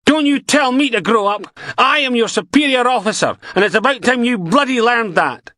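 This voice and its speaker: A man voicing a pompous, blustering male detective inspector who shouts and whines indignantly. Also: high voice, steady tone, a little slow, no echo, clean studio sound, voice pushed up. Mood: furious.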